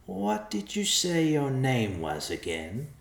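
Storybook bandit played by a male narrator: small, greedy, cocky caravan driver, folksy wheedling talk, pitched up from the narration, echoing room. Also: huge pitch swings, slow pace, vocal fry, full character voice. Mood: sly, haggling.

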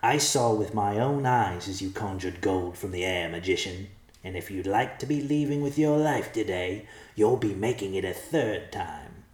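A man's voice: on the low side, animated, normal speed, darkish tone, roomy sound, lightly acted. A man voicing a greedy, sly little bearded bandit in an audiobook-style story, with folksy rustic grammar and a cocky, wheedling manner. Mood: menacing, gloating.

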